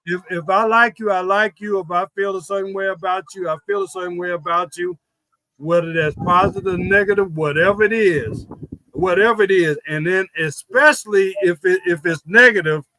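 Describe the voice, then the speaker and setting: A man's voice: high voice, pushed and bright, poor audio. A man speaking as a guest on a live online interview, blunt and emphatic. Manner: blunt, emphatic, plain-spoken.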